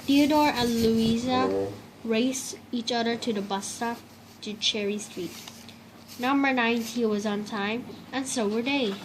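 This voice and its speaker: High-voiced woman reading a children's story. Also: measured pace. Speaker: storybook reader. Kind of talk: read-aloud children's story narration. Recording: clear steady background noise.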